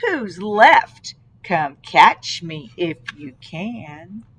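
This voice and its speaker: A woman's voice: on the low side, very animated, extremely slow, background noise, heavily acted. A woman voicing a cocky little gingerbread baby boy from a storybook, boastful and taunting in a singsong manner.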